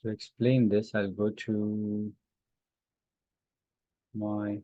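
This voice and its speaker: A man teaching a live online software lesson informally, haltingly thinking aloud as he demonstrates. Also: fairly low pitch, monotone. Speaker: instructor. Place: online class call with screen sharing. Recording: roomy, dull lo-fi online-call audio.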